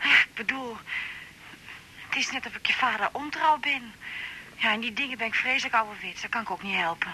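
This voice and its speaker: A woman's voice: a little high, fairly quick, very noisy. An actress playing an anxious, old-fashioned middle-aged mother on the telephone, trembly and nervous, with a Netherlands Dutch accent. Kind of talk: radio drama dialogue.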